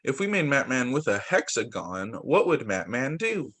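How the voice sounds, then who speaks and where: A young man who teaches young children, reading picture books aloud in a sing-song, expressive way over a video call. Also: medium pitch, moderate pace. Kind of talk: read-aloud story time for young children.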